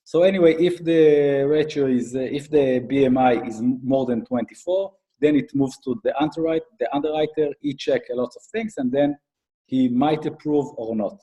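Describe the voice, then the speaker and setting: A middle-aged man with an Israeli accent giving an explanatory business talk as a guest expert, haltingly, with frequent fillers. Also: a little high, lively intonation, mellow, rough recording. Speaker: guest speaker, IT advisory consultant and accountant.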